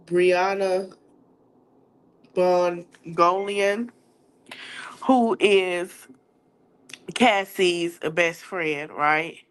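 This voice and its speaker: A woman's voice: lowish voice, steady tone, clear, resonant tone, rough recording. A woman podcast host with a stuffy nose, recapping a trial in casual chat and calling her viewers y'all. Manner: chatty, drawn-out, addresses viewers as y'all.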